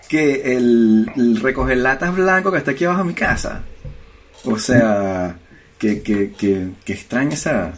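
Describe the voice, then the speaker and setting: A man with a Venezuelan accent, co-hosting a podcast in a casual discussion, arguing emphatically with lots of filler words. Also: on the high side. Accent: Venezuelan Spanish. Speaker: podcast co-host.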